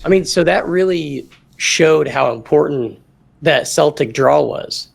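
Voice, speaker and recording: Gravelly-voiced male podcast co-host. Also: on the high side, measured pace. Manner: chatty, leans on "I mean". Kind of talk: casual sports podcast chat.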